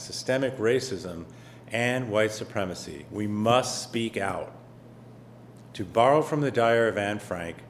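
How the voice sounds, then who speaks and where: A man giving a formal commemorative speech in a measured, rhetorical way, with repeated phrases. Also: lowish voice, slight background noise. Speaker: official speaker.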